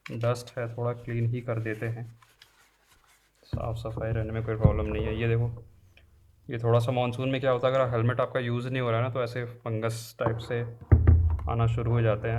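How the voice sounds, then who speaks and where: A man's voice: mid-range voice, lo-fi sound, background noise. A man with an Indian accent talking casually as a vlogger, explaining things step by step and mixing Hindi with English phrases. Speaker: vlogger reviewing gear. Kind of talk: casual unboxing and installation walkthrough.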